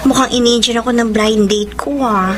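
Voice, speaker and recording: A woman's voice: on the high side, steady tone, moderate pace, natural voice. An actress playing a sassy, playful young Filipino woman in a radio drama, with campy, slangy banter. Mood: exasperated. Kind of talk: radio drama acting with letter narration. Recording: music bed under voice.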